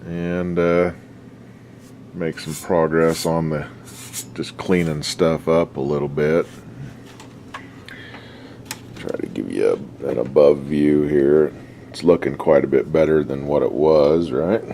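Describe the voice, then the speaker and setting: A man giving a casual, unscripted workbench demonstration in a laid-back, folksy, halting way, often trailing off. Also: very deep voice, dark tone, slight background noise. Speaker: radio restoration hobbyist presenting a repair update.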